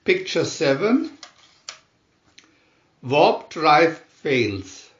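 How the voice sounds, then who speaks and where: Male narrator, slow and dramatic, lively swooping pitch, for picture-story booklet readings. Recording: dull, echoey room recording.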